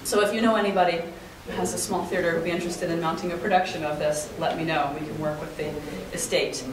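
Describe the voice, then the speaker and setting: A woman's voice: on the low side, steady tone, fast, a crowd in the background. A woman in her thirties giving a prepared talk to an audience as a conference speaker. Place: hotel conference room, indoors. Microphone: camera across the room. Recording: echoey meeting room, distant mic, audience noise.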